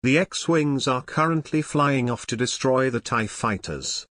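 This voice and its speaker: A man's voice: mid-range voice, measured pace, a bit bright, no room sound, clean studio sound, natural voice. A man playing a TV field reporter once held captive, in a scripted fictional news drama. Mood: earnest. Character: male TV field reporter, rescued captive of the Empire.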